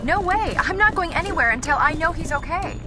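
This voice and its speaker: A woman's voice: extremely high pitch, lively intonation, fairly quick. An actress playing an anxious, stubborn young woman in a video game, pleading and insistent. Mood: defiant, urgent. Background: music.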